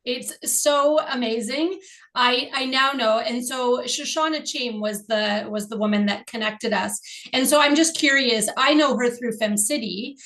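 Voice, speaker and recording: A woman's voice: fairly high pitch, unhurried, a bit bright, low-quality recording. A woman from Canada hosting a casual interview over a video call, enthusiastic and curious. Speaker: host.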